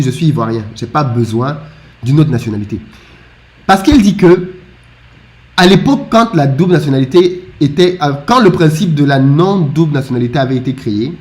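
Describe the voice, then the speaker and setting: Man from Africa, fairly high voice, lively talker who stammers through restarts. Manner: animated, with frequent stammered restarts. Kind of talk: casual discussion.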